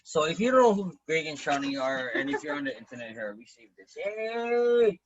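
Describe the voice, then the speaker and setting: A man's voice: a little high, big pitch swings, moderate pace, highs cut off, noisy background. A man in his forties, a business owner and event emcee, telling his story in a casual interview and acting out quoted lines in a raised voice. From Hawaii.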